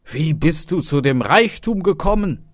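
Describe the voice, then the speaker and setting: An irascible old peasant father from a fairy tale, as a male narrator plays him. He scolds loudly and scornfully, with indignant emphasis, his pitch raised and swinging widely. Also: animated, very slow, no echo, studio quality. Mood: astonished.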